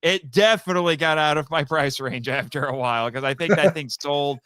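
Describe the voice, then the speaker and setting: A man's voice: a little high, fairly quick, a bit bright, clean tone, no room sound, clean studio sound. A man talking casually as a guest on a fan podcast, telling stories and acting out quoted remarks.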